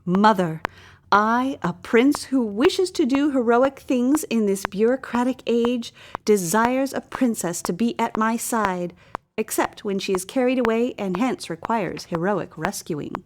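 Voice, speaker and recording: A woman's voice: fairly high pitch, measured pace, close to an everyday voice. A woman voicing an earnest, pompous young prince eager for heroics, declaiming formally in a storybook tale. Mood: declaring. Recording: clear background noise.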